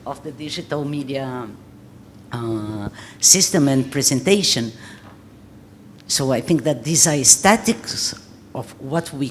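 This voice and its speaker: A woman, a Portuguese speaker, giving an earnest panel talk in English with many pauses. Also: deep voice, slight background noise. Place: art gallery talk before an audience.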